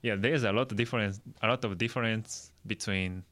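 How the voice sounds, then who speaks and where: Low-voiced young Japanese man, casual host. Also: a bit bright. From Chiba, Japan. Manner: halting non-native English with simple phrasing. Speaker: podcast host. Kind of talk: casual free talk.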